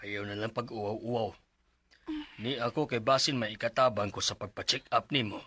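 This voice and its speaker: A man's voice: on the low side, fairly even intonation, fairly quick, bright, forceful tone, husky. An actor playing a concerned man who urges a young mother to see a doctor in a radio drama. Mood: worried.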